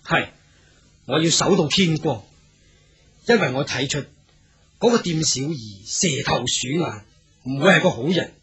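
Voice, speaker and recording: A man's voice: fairly high pitch, lively intonation, unhurried, pauses often, fairly bright tone. A man playing a wary, upright, protective hero in a historical radio drama, earnest and cautious, with a Hong Kong Cantonese accent. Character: wary, upright, protective male swordsman hero travelling incognito.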